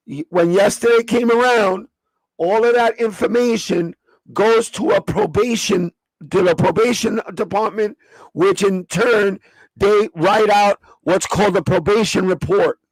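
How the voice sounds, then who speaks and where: A man with a raspy voice, hosting a casual livestream and breaking things down step by step in a streetwise, profane way. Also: sits high, lively intonation, a little slow, dry recording, poor audio. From New York. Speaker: livestream host.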